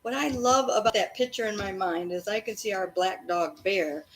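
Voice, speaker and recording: A woman teacher reading a storybook aloud to children expressively, pausing to explain and prompt her listeners. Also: medium pitch, a bit bright. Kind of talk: interactive children's read-aloud of a storybook, with questions and asides. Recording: faint background noise.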